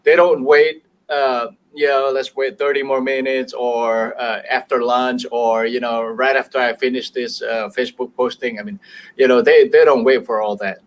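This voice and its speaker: Indonesian-accented man, halting and chuckling. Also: medium pitch, fairly even intonation. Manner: hesitant, many fillers and restarts, chuckles. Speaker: business show guest expert. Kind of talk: casual business talk show chat.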